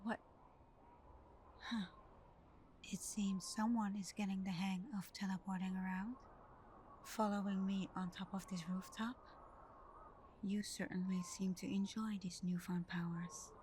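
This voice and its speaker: Woman acting a slow, breathy vampire girlfriend. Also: mid-range voice, steady tone, a little hiss or hum, lightly acted. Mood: guarded affection. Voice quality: soft, breathy, often half-whispered. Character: caring, hurt vampire girlfriend. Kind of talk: ASMR roleplay, intimate scripted acting.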